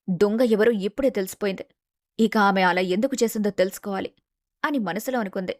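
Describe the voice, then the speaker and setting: A woman's voice: on the high side, no room sound. A woman reading scripted narration for a family story.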